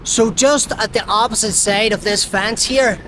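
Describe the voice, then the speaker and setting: A man's voice: high-pitched, unhurried, background noise. A man with a Belfast Northern Irish accent, presenting an upbeat travel vlog and interviewing people outdoors in a city. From Northern Ireland. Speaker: travel vlogger.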